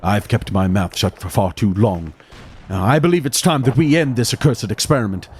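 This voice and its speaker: An actor playing a haunted, fearful army doctor, narrating a gothic horror audio drama in a grave, formal, dramatic way. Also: male voice, lowish voice, normal speed, a little mellow, a little hiss or hum, natural voice. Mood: indignant. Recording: music under the voice.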